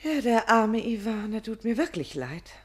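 Twittering, coquettish young wife, very lively. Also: female voice, on the high side. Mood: coyly pitying. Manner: twittering, coquettish, quickly wandering. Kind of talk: German radio play acting.

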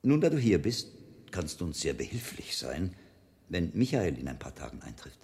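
An actor playing a shrewd, learned friar in a radio drama, speaking in a measured, thoughtful and wry way. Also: male voice, deep voice, sing-song, creaky, darkish tone, full character voice. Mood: reflective, warm.

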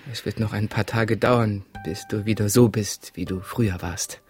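A gentle, patient husband in a radio play. His voice is fairly low and a little husky, soft and careful as he reassures, with faint music behind. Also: fairly even intonation, normal speed. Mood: reassuring, careful. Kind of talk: radio drama acting.

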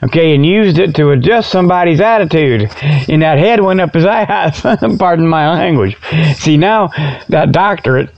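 A man's voice: on the high side, lively intonation, moderate pace, vocal fry, dull audio. A man hosting a comedy show with a rambling, tongue-in-cheek monologue, folksy and colloquial, drifting off on tangents. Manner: folksy, colloquial grammar, digressive.

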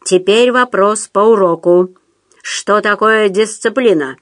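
A woman voicing a brutal, bullying man in an audiobook, hoarse and menacing, barking and hissing orders at underlings. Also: medium pitch, measured pace, natural voice. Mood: commanding. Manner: menacing, hissing and shouting commands. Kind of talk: audiobook character voice.

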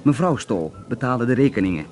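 A gossipy, sceptical barman played by a male actor in a radio drama. He speaks at a middling pitch, confiding and suggestive, pausing before each insinuation. Faint music sits behind him. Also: big pitch swings, a little mellow, lightly acted. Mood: gossipy, insinuating. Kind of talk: conversational radio drama acting.

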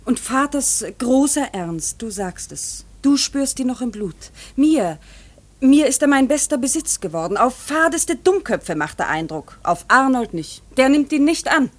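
Serious, sober grown daughter. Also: female voice, high-pitched, sing-song, a little slow, full character voice. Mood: earnest. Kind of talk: radio drama acting.

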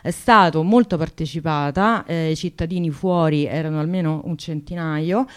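Low-pitched woman, halting delivery. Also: clear, resonant tone. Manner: halting, searching for words. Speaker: activist guest, singer. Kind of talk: spontaneous radio talk about a protest.

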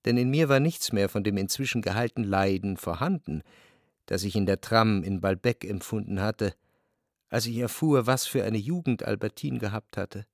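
An actor playing a jealous, brooding man recalling an obsessive love, in reflective, measured literary radio drama narration. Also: lowish voice, no room sound, clean studio sound, lightly acted. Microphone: close dry studio microphone.

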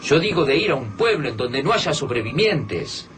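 A man's voice: medium pitch, sing-song, moderate pace, crowd noise, lightly acted. An actor with a Rioplatense Argentine accent playing a grim, pragmatic male survivor in a radio drama, firm and persuasive. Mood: urgent. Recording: noisy radio-drama recording.

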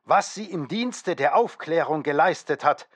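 A man's voice: on the high side, expressive, a little slow, no echo. A man reading an old press article aloud in a formal, scripted manner for radio drama. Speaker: reader of an old trade-paper article. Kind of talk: scripted declarative reading of 1910s press text.

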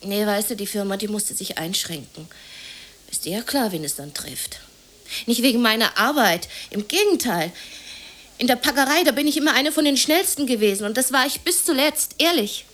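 A woman's voice: a little high, lively intonation, moderate pace, fairly bright tone. Bitter, worn-down working-class woman. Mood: indignant, complaining. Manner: colloquial, confiding, plaintive, sometimes near whispering. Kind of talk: 1980s German radio drama acting.

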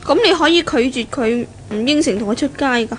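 Actress as high-voiced proud young fiancée. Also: female voice, brisk, lightly acted. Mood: assertive. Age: young adult. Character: young female graduate, proud, coolly probing fiancée. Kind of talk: 1970s Cantonese radio drama acting. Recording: noisy 1970s radio drama recording.

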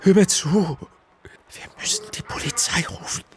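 A dazed, slow, halting injured man. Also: high voice, a little hiss or hum. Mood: agitated, pleading. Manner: halting, breathless, insistent repetitions. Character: injured, confused, frightened film director in bed. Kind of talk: radio play acting.

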